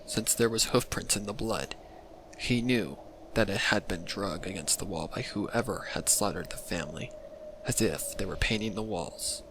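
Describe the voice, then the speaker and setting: A man reading a scripted dark mystery story as its narrator. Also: fairly low pitch, moderate pace, noisy background. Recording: loud music under the voice. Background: loud music.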